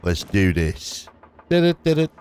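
A man's voice: low voice, big pitch swings, a little slow, a little mellow. A man giving casual, playful gameplay commentary, throwing in exclamations and mild substitutes for swearing. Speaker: gaming YouTuber. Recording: music playing behind the voice. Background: game music.

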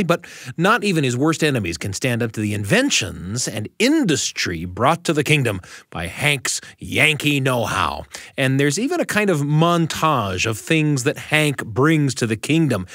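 A man's voice: mid-range voice, a bit bright, dry recording, clean studio sound. A man hosting a story show, narrating in a wry, chatty way. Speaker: show host.

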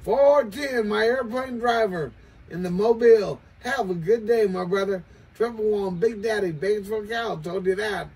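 A man's voice: high-pitched, normal speed, darkish tone, husky. An older American man calling out on CB radio in a chanting, repetitive way, recorded indoors from a distance. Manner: chanting, repetitive sign-offs. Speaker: CB radio operator. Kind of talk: CB radio calling with repeated catchphrases. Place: small cluttered home radio shack, indoors. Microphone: far camera, while speaking into CB desk microphone. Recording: distant mic, some background noise.

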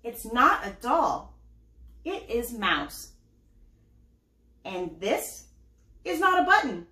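A woman voicing a gentle, dreamy little boy pig who speaks softly and patiently in a children's storybook. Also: fairly high pitch, big pitch swings, slow pace, echoey room, heavily acted. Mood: calm.

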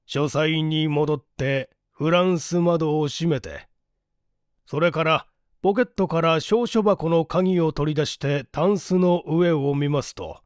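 A man reading a mystery story as a narrator, in a measured, polite and formal delivery. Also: a little high, a little mellow, no echo, clean studio sound. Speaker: audiobook narrator, stage actor. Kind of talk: dramatized literary reading, mystery novel.

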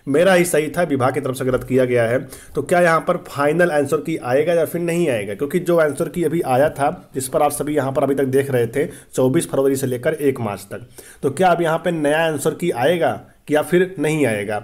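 A man's voice: a little high, moderate pace, darkish tone. A man hosting an explainer for students, repeating points emphatically and often checking that listeners follow. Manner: repetitive, emphatic explaining with frequent check-in tags. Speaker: host of exam-update channel.

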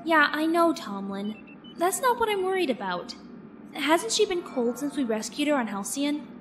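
A woman's voice: high voice, big pitch swings, normal speed, noisy background. A woman playing a caring young crew member in an audio drama, speaking casually and expressively. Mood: worried.

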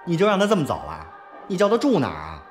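A man's voice: on the high side, wildly swooping pitch, fast talker, soft background music. A man acting a blunt, hot-tempered, loyal young henchman in a Mandarin audio drama, brash and outspoken. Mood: exasperated.

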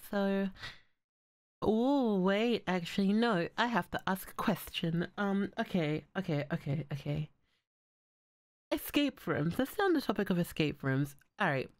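A woman's voice: lowish voice, no echo. A woman who makes audio roleplays, chatting casually in a rambling, swearing way while sick. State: sick with a cold. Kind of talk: casual outro chat.

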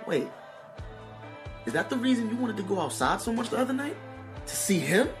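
Audio drama role: actor playing jealous male spouse, quick-tempered and interrogating, music underneath. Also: extremely high pitch, big pitch swings, exaggerated voice. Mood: angry, accusing. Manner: accusing, interrogating. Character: jealous, suspicious, hot-tempered husband. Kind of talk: audio drama acting, confrontational.

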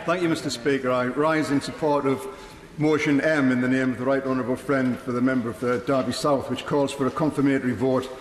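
A middle-aged man, a member of parliament, reading a prepared speech in a large debating chamber, earnest and measured. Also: mid-range voice, fairly even intonation. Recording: echoey chamber with long reverb.

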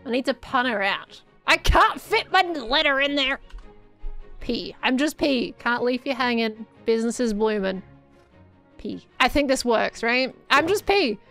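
A chatty Australian woman with a high voice that sounds a little hoarse and dry. She talks casually and brightly through a game, cooing over what she builds, with faint music underneath. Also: animated, measured pace. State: slightly hoarse. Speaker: Minecraft YouTuber. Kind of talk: casual let's-play commentary.

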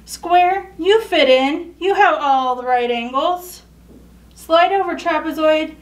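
Lady doing a bossy little cartoon director, high voice, self-assured, spells it out slow. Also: far from the mic. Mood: brisk, judging. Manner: commanding, dismissive.

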